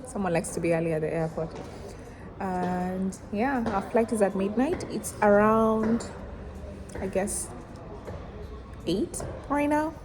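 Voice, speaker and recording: A woman's voice: medium pitch, takes it slowly, pauses often, noisy background. A young woman chatting casually to camera as a travel vlogger. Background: background music.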